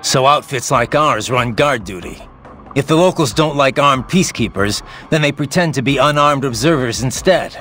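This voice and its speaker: A hard-bitten cyborg mercenary in a video game. His voice sits at a middling pitch, gruff and terse with dry sarcasm, over faint music. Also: expressive, moderate pace, pushed and bright. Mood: serious, determined. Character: sardonic, hard-bitten male cyborg mercenary, blunt and determined. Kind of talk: video game radio dialogue acting.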